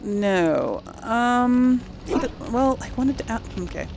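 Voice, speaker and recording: A woman's voice: fairly high pitch, expressive, takes it slowly, gravelly, loud music behind the voice. A woman hosting a let's play, chatting casually to her viewers in an informal, playful way. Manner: chatty, addresses viewers, giggly asides. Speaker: gaming video host.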